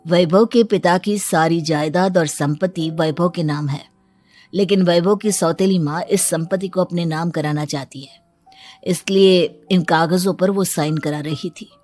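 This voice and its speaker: A woman reading measured, explanatory character dialogue in a scripted cartoon story. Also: on the low side, steady tone, darkish tone, soft background music. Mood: serious, explaining.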